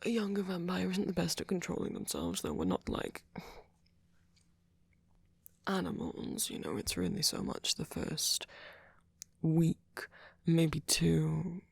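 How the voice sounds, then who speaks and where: A man playing a gentle, centuries-old vampire boyfriend in an ASMR roleplay, speaking softly in a breathy, husky half-whisper with a hesitant, comforting manner. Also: a little high, huge pitch swings, slow, dry recording, exaggerated voice. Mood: earnest, reassuring. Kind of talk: ASMR roleplay, soft intimate monologue.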